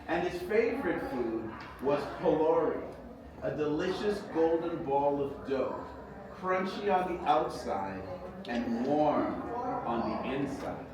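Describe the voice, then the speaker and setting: A man reading a children's story aloud in a measured, expressive storytelling manner. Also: fairly high pitch. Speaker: author reading own book aloud. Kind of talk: children's storybook reading with sung hymn passages. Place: echoey indoor event space. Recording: echoey room, distant mic, clear background noise.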